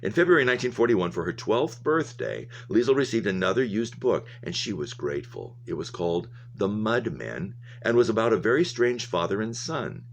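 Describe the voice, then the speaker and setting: A man's voice: on the low side, very animated, moderate pace, hardly any pauses, slight background noise. A man reading a story aloud in the style of a teacher, with explanatory asides. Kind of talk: read-aloud narration with commentary.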